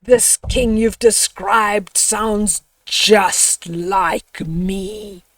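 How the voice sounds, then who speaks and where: Woman putting on a slow, proud storybook lion king. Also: medium pitch, steady tone, dry recording, distant mic. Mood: proud, boastful. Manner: purring, boastful. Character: proud, mighty male lion king, boastful and commanding. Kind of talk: children's storybook read-aloud.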